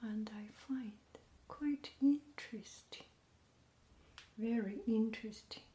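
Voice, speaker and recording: A woman hosting a podcast, talking softly and gently in a half-whisper, in a relaxed, unhurried chat. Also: a little high. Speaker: podcast host. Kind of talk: soft, slow casual chat. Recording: roomy echo with faint noise.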